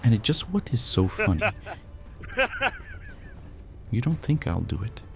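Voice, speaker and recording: A man voicing a bitter old male vampire, creaky and wounded, speaking in menacing threats for an audiobook drama. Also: on the low side, wildly swooping pitch, unhurried, music in the background, pitched above the performer's own voice, full character voice. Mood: vengeful menace.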